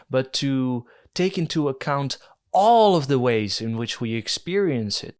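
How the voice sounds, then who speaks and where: A young man with a Dutch accent works through ideas in a casual video essay, at an ordinary middle pitch. He muses aloud, trips over a word now and then, and makes wry asides about himself. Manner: thoughtful, musing, self-deprecating with fillers.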